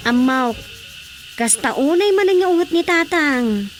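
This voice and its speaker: A woman's voice: high voice, animated, measured pace, faint music behind. A woman playing a chatty village housewife in a comic radio drama, questioning and exclamatory. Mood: concerned.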